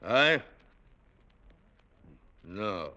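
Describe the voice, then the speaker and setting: A man's voice: on the low side, very animated, very slow, sharp tone, exaggerated voice. An actor playing a defiant, brooding young village man, blunt and intense, in 1940s radio drama style. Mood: quietly assured. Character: defiant, brooding young peasant son, haunted former prisoner. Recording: dull vintage radio recording.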